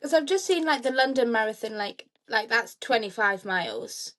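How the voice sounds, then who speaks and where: A woman's voice: a little high, animated, moderate pace, fairly bright tone. Young woman who says "like" constantly. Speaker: podcast co-host. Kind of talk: casual chat.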